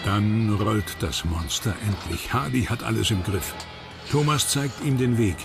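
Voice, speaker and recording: A man reading scripted documentary narration in a lively voice over music. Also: on the low side, measured pace, vocal fry.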